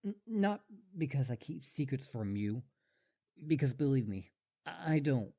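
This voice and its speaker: Casting: obsessive young wolf boy suitor played by a performer for audio roleplay; very lively intonation, eager, stammering, over-devoted. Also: medium pitch, measured pace, creaky, exaggerated voice. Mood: hesitant, evasive. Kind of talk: roleplay audio drama monologue to listener.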